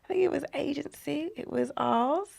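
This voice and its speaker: A woman, unwell and croaky, animatedly recalling her childhood as a podcast guest, often dropping to a breathy near-whisper. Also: high voice, moderate pace, darkish tone. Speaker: guest, inclusive play advocate. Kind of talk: conversational podcast interview.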